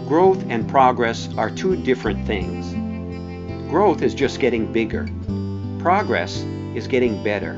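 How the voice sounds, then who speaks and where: A man narrating a scripted documentary in a measured, pointed way with deliberate emphasis. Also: mid-range voice, sing-song. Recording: dull, band-limited sound with music behind.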